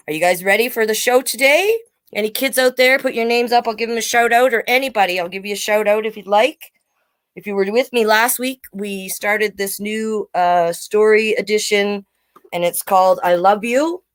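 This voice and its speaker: Animated woman hosting children's storytime. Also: mid-range voice. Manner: animated, sing-song, chatty asides. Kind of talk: live read-aloud storytime for children.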